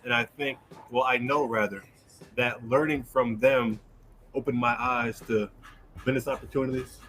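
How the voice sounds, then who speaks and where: A man's voice: medium pitch, steady tone, moderate pace, a bit bright, a little hiss or hum, rough recording. A man from New York and New Jersey, a business owner answering interview questions earnestly, often repeating words and adding "right". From New York and New Jersey, USA.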